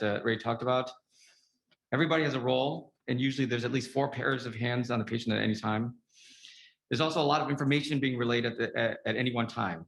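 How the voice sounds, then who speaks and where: This is a man with a gravelly voice, speaking at a summit about his work as a trauma surgeon. He talks in an earnest, halting way, full of fillers and restarts. The sound carries a clear room echo. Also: medium pitch, fairly bright tone. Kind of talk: spoken talk at a summit.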